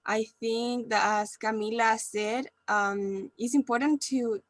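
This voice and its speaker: Slow-spoken young woman, Spanish accent. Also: fairly high pitch, fairly even intonation, no echo. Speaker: university student. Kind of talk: class discussion.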